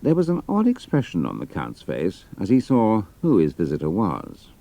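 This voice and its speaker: A man's voice: low-pitched, huge pitch swings, moderate pace, creaky, warm tone, no echo. A man giving a dramatic scripted narration of an adventure story.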